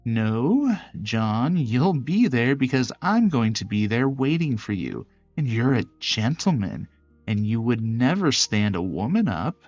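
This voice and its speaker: A man's voice: medium pitch, big pitch swings, unhurried, a little mellow, no echo, highs cut off, soft background music. A man voicing a confident, bossy young female fight trainer in a visual novel, commanding and teasing.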